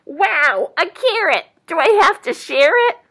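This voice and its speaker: Woman playing an eager, high-voiced young doe. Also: sing-song, unhurried, fairly bright tone, full character voice. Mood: delighted. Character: young female deer, eager and wide-eyed.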